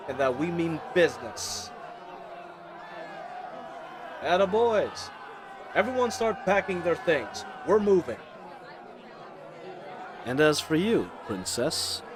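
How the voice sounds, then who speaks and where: A man's voice: a little high, noisy background. A man playing a charming, cocky bandit crew leader in an audio roleplay, with a teasing, swaggering, conversational manner. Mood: commanding, cocky, then easy authority. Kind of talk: dramatic audio roleplay acting.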